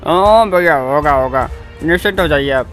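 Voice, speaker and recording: High-voiced obliging shopkeeper played by a man. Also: lively intonation, normal speed, a bit bright, soft background music. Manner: agreeable, reassuring. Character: obliging, reassuring male shopkeeper. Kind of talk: dramatized story acting.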